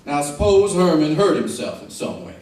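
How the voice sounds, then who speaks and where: An echoey, distant old radio recording carries an Old West marshal. He is decent and stubborn, hemming and hawing in folksy speech that swings widely in pitch. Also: very animated, normal speed, a little mellow. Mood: reluctant, defensive. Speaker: actor in a radio western. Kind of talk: old-time radio western acting.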